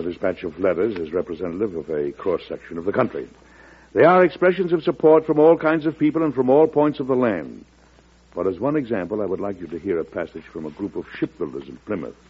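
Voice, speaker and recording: An actor playing a calm, resolute president in an old-time radio drama, measured and statesmanlike. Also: male voice, low-pitched, husky, exaggerated voice. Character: calm, resolute male president addressing his cabinet secretaries. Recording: muffled vintage radio drama recording.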